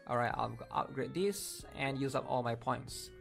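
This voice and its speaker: A man's voice: mid-range voice, normal speed, creaky, music in the background. A man giving casual, explanatory gameplay commentary, often checking in with his viewers. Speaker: gaming video creator.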